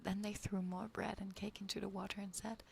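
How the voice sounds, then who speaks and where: A young woman streamer whispering softly, reading a story aloud and chatting with her viewers. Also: mid-range voice, little pitch movement, a little fast, gravelly, slight background noise. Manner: soft whispering, chatting with chat. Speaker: ASMR streamer.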